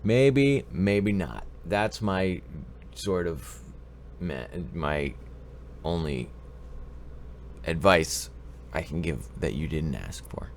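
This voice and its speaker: A low-voiced man with a stuffy nose from a cold hosts a live stream, his pitch swinging up and down a lot as he rambles and cracks jokes. A faint hiss sits behind his voice. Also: animated, extremely slow, pauses often. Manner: rambling, joking, greets chat viewers by name. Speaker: livestream advice show host. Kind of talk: casual live-stream chat with viewers.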